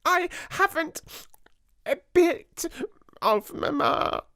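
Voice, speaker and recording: A man's voice: very high-pitched, expressive, very slow, pushed and bright, dry recording, studio quality, voice pushed up, heavily acted. A man reading a storybook, voicing a small spoiled girl who wails and sobs in a whiny, tearful voice. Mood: wailing. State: sobbing, wailing.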